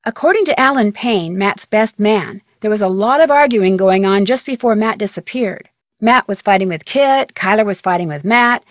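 A young woman with an American accent playing a chatty, curious, level-headed amateur detective in a video game, speaking conversationally with clear diction. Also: a little high, dry recording, studio quality, lightly acted. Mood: matter-of-fact, intrigued. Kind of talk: video game voice acting, conversational phone-call dialogue.

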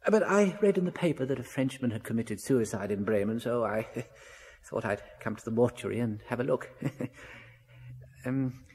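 A man's voice: medium pitch, expressive, moderate pace, a little mellow, breathy edge. An actor playing an eager, hesitant Belgian businessman in a radio drama, ingratiating and stammering. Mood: nervous, curious. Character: genial, nervously eager Belgian businessman living in Germany.

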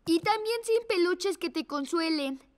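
A woman's voice: very high voice, lively intonation, measured pace. An actress voicing a proud young boy pug, a bossy know-it-all big brother in a cartoon. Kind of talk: Spanish dub of a preschool cartoon.

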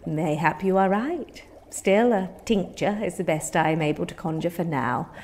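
An actress playing an earnest, deferential medieval seer in a comic audio drama, formal and archaic. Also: female voice, fairly low pitch, normal speed, darkish tone, lightly acted. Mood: solemn, explaining.

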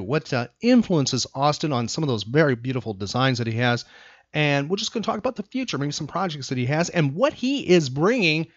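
Animated, higher-voiced male podcast host. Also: no echo, highs cut off. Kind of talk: casual banter and trivia quizzing with a co-host.

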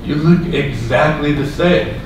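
A man, middle-aged, playing an awkward, wistful man meeting an old flame in a halting stage delivery. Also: close to an everyday voice. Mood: awkward, eager. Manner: halting, hesitant. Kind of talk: live stage radio play acting. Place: on stage in a small auditorium with audience, far camera. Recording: roomy hall, far from mic, clear rumbling noise.

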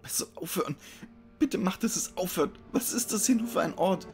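A man's voice: high voice, expressive, moderate pace, darkish tone, soft background music, voice pushed up. A man voicing a desperate, guilt-ridden woman crying out in anguish in a horror story. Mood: desperate screaming. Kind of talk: dramatic horror story reading.

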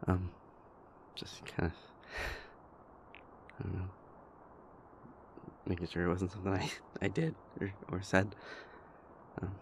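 A man playing a shy, anxious, gentle young boyfriend in an audio roleplay, stammering, hesitant and apologetic in a soft-spoken way. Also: low-pitched, flat delivery, slow, long pauses, a little hiss or hum. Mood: nervous, apologetic.

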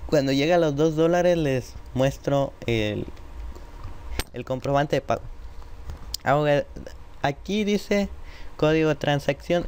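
Higher-pitched man over background noise. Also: a little mellow. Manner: step-by-step, addressing viewers directly. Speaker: tutorial presenter. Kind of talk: informal screen-recorded tutorial narration. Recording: noisy home computer microphone recording.

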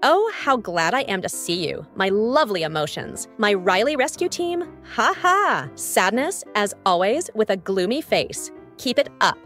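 Woman doing tiny happy emotion sprite, sweet, super animated, soft music behind. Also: medium pitch, measured pace, hardly any pauses. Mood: cheery, teasing. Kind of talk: fan comic dub.

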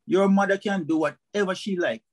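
A man's voice: high-pitched, a little fast, close to an everyday voice. An actor playing a bitter, grumbling husband and father with a Jamaican accent in a radio drama. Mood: bitter, indignant.

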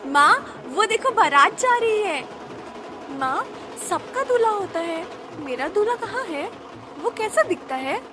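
A woman's voice: very high-pitched, big pitch swings, unhurried, music in the background, heavily acted. A woman playing an eager, devout, innocently insistent little royal girl in a radio drama. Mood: wonder, curious.

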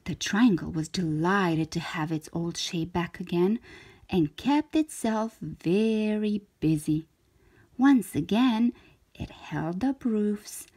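A woman reading a children's story aloud in an expressive narrator's style, with deliberate, dramatic phrasing. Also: lowish voice, slightly rough.

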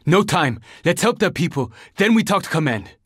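High-voiced young survivor in a zombie game. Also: male voice, very animated, moderate pace, a bit bright, no room sound, studio quality, heavily acted. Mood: focused, pressed. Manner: terse, earnest, urgent. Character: young Japanese male survivor fighting a zombie horde, urgent, determined. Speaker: video game character. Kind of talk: video game voice lines, dramatic combat barks.

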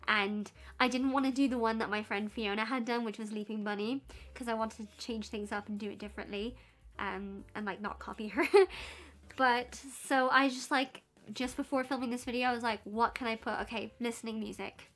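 Chatty Irish woman with a higher voice. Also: fairly even intonation, a little fast, a bit bright. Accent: Irish, softened by years in London. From Ireland and Belgium. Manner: chatty, giggly, lots of fillers. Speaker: YouTuber. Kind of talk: casual sit-down vlog. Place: bedroom at home.

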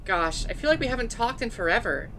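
A woman acts out a chatty young woman scientist, part dog, in a fan dub drama. She is blunt and casual, with a bright, lively swing to her speech, over faint background noise. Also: on the high side, fast, heavily acted. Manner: casual, animated, exasperated at hesitation.

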